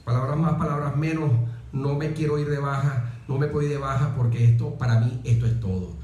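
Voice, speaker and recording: A man from Venezuela with a Venezuelan accent, telling personal stories as an interview guest, phrasing deliberately and adding little tag questions. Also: mid-range voice, mellow, highs cut off. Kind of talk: reflective personal storytelling in an interview. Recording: very echoey, reverberant room.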